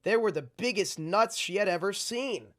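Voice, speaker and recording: A storytime host reading a picture book aloud in an exaggerated storybook manner with deadpan asides. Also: medium pitch, normal speed.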